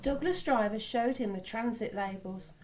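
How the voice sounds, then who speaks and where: A woman with a fairly high voice reads a children's story aloud. She is teacherly and stops for little asides about the pictures. There is light background noise in the recording. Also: normal speed, a little mellow, poor audio. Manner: teacherly, pointing out pictures and repeating words.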